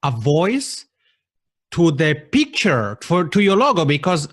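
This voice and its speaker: Lively Italian-accented man. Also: slow pace, dry recording, clean studio sound. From Italian-speaking Switzerland. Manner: animated, jumps up in pitch on quoted thoughts. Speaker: podcast guest. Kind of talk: conversational interview.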